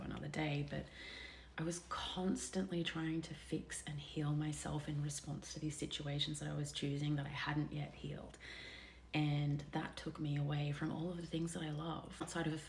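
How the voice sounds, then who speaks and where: A woman in her thirties with a low, level voice and a gravelly edge speaks openly to her viewers. Her delivery is candid and confessional, and she often sinks into a soft near whisper. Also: a little hiss or hum. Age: 30s. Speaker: vlogger. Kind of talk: personal reflective vlog.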